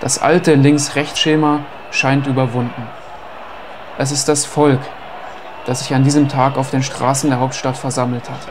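Male narrator, mid pitch, measured read, noise under voice. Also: little pitch movement. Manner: measured documentary reading. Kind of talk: scripted voice-over narration.

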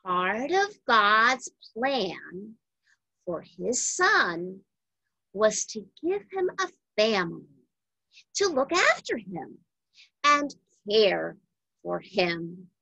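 A woman reading a children's Bible storybook aloud in a deliberate, emphatic way for young listeners. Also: medium pitch, big pitch swings, vocal fry, pushed and bright, husky, no room sound, rough recording.

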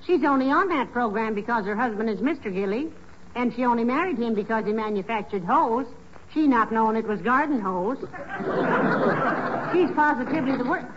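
An actress playing a patient, teasing middle-aged wife, dry and wry, in a 1940s radio comedy. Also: female voice, on the high side, expressive, fairly quick, close to an everyday voice. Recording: noisy, dull old radio recording, studio audience.

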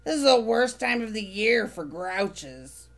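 A woman's voice: on the high side, sing-song, a little slow, gravelly, a bit bright, exaggerated voice. A woman voicing a grumpy male monster who lives in a trash can, grumbling sourly in a storybook reading. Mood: grouchy. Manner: grumbling, sour.

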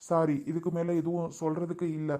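A man's voice: fairly high pitch, monotone, brisk, warm tone, natural voice. A man playing an indignant, protective husband in a family drama, arguing firmly and insistently. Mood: curt, dismissive. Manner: firm, argumentative, insistent. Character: indignant protective husband refusing to give up the child.

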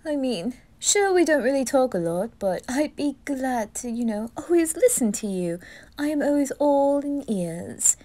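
Woman acting as flamboyant motherly reaper, high-pitched, swooping intonation, gushing and affectionate, ASMR fan drama. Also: sing-song, measured pace, dark tone. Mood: doting. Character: flamboyant, motherly, doting red-haired reaper.

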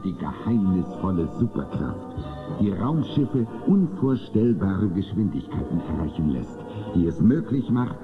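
A man's voice: sits low, full character voice. A man narrating a space western audio drama in a grave, dramatic manner. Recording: dull lo-fi cassette sound.

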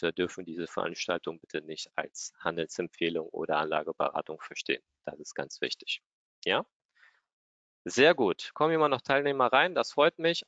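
A man's voice: deep voice, fairly bright tone, no echo. A man hosting and opening a broker's webinar over a video call, welcoming viewers formally with a few fillers. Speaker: webinar host. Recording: dull lo-fi video call audio.

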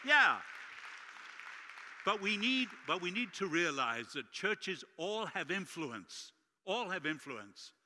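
A middle-aged man from Uruguay with a Spanish accent, preaching an animated sermon with jokes as guest speaker in a large church. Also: sits high, takes it slowly, crowd noise, background noise. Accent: Latin American Spanish. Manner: animated, gesturing widely while walking the stage. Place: large church sanctuary stage. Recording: long hall reverb.

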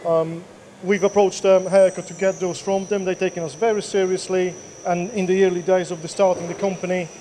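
A man's voice: high voice, flat delivery, a little fast, warm tone, a little hiss or hum. A man in his thirties, a workshop owner, answering interview questions plainly with many fillers. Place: machine workshop indoors. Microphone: handheld mic.

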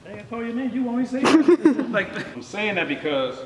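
Male stage director, high-pitched, informal and commanding with cast and crew, captured far off in a very echoey hall. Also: big pitch swings, a little fast, a crowd in the background. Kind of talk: rehearsal instructions to cast and crew. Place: indoor theater auditorium. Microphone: distant handheld camera. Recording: echoey theater, picked up from far off.

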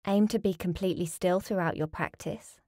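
A woman leading a guided relaxation as a yoga nidra teacher, speaking in a calm, soothing, measured way with pauses. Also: on the low side, no room sound, studio quality.

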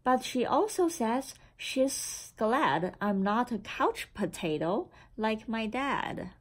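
A woman reading a children's picture book aloud in a deliberate, expressive storytelling manner. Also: a little high. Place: indoor room with framed certificates on the wall.

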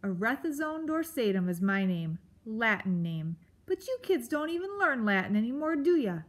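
A woman's voice: a little high, very animated, moderate pace, pitched above the performer's own voice. A woman voicing a grumpy, crotchety male animal in a children's storybook, snarling and snapping out insults. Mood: cranky, exasperated. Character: grumpy old male porcupine-like beast, blustering, crotchety.

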